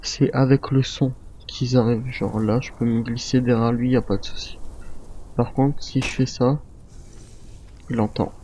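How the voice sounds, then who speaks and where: A man role-playing a military survivor in an online zombie game, speaking in halting, improvised phrases. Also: medium pitch, fairly even intonation, dark tone, lo-fi sound, a little hiss or hum. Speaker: video game role-player. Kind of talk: improvised in-character game chat.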